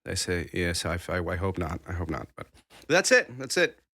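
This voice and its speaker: A man's voice: sits low, big pitch swings, a little fast, sharp tone, husky, no room sound, studio quality. A man in his thirties from Argentina, a podcast guest talking casually in a rambling, self-interrupting way. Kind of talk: casual conversation.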